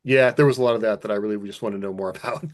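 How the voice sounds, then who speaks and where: Man, rather low pitch, rapid pace, casual podcast co-host, conversational. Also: steady tone. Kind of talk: casual panel chat.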